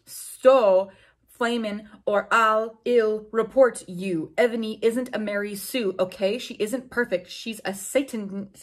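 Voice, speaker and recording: A woman reading out the notes of a defensive, indignant teenage girl fanfiction author, halting over misspellings. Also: medium pitch, fairly even intonation.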